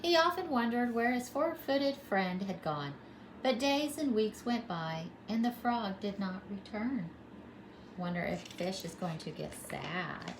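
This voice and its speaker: A woman's voice: mid-range voice, lively intonation, a little slow, background noise. A woman pre-K teacher reading a storybook aloud to young children, expressively and with simple explanations.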